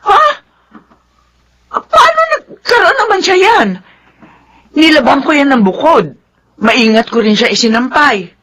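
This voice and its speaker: A woman's voice: high voice, sing-song, unhurried, long pauses, fairly bright tone, exaggerated voice. A woman acting as a devoted, long-suffering middle-aged widowed nanny and mother in a Filipino radio drama. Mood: alarmed. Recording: dull, noisy radio drama recording.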